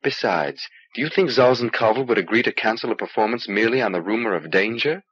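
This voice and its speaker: A man voicing a calm, resolute young lord in a fantasy audiobook, reassuring and measured, on an old cassette transfer. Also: medium pitch, slightly rough, no room sound, muffled recording.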